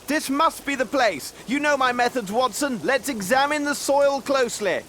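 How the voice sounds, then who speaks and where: An actor playing an urgent, resolute gentleman detective in a video game, in a raised, declamatory voice. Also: very high-pitched, normal speed, a little hiss or hum. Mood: urgent, grim.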